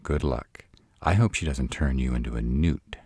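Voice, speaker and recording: Man playing a very deep, sarcastic vampire. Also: moderate pace, mellow, husky. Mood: exasperated sarcasm. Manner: sardonic, dry, rhetorical questioning. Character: sarcastic, proud city-bred male vampire. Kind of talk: scripted audio roleplay, addressed to listener.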